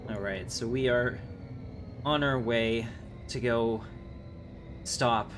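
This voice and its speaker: A man's voice: mid-range voice, slow. A man giving casual, chatty game livestream commentary, rambling along in slangy talk. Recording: clearly noisy livestream audio.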